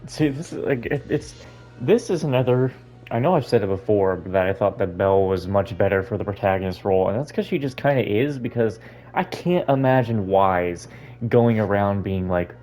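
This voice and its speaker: A man with a dark, relaxed voice chatting casually over a video game. He talks in a halting, jokey way, often doubling back on his words. Faint game music sits underneath. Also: fairly low pitch. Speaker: gaming YouTuber. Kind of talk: casual playthrough commentary.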